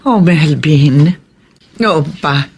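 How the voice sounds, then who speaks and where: Slow-talking, tender motherly figure. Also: low-pitched, darkish tone, breathy edge, exaggerated voice. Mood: touched. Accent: Filipino. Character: kindly, deeply touched motherly older woman. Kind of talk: Filipino radio drama acting.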